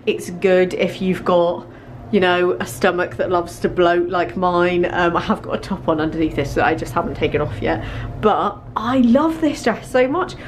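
Low-pitched young British woman vlogging. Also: normal speed, a little hiss or hum. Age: young adult. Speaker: fashion vlogger. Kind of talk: casual chat to camera while trying on clothes. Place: small clothing store fitting room. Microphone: handheld vlogging camera.

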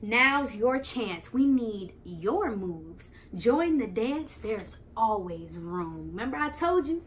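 Young adult woman, American accent, high voice, expressive children's read-aloud, question-filled, faint background noise. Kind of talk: animated picture-book reading and explaining to young children. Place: living room, on a couch.

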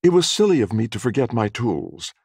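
A man's voice: medium pitch, sing-song, brisk, darkish tone, breathy edge, dry recording, clean studio sound, voice pushed up. A man voicing a confident, hearty young woman with a rich contralto and a polite, breezy manner in an audiobook. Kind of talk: audiobook character voice.

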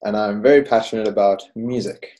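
A man's voice: on the low side, a little fast, dark tone. A man in his twenties speaking thoughtfully and sincerely as a guest on a video call panel. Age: 20s.

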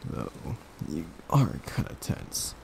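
A man speaking softly in an intimate, soothing ASMR boyfriend roleplay, murmuring in a comforting, gently teasing way. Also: slow. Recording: close recording with clear background noise.

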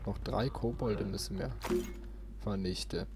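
A man's voice: fairly low pitch, measured pace, very noisy. A man casually commentating as he plays a video game. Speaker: let's player.

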